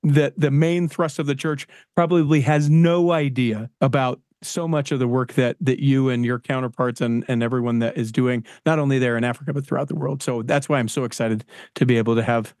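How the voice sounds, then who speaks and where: A man's voice: mid-range voice, steady tone, a little fast, a little mellow, no room sound, clean studio sound. A man hosting a podcast interview, talking enthusiastically and rambling with many restarts. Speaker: podcast host. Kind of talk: casual interview.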